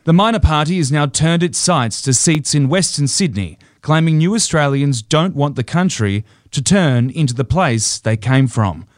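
A man's voice: a little high, animated, normal speed, few pauses, dry recording. Formal male news reporter, dry sound. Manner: crisp, formal.

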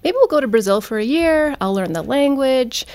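A woman in her thirties, a teacher and guest, telling her story in a quick, chatty way. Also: high voice. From Canada. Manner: quick, lively, chatty with fillers. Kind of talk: casual storytelling interview.

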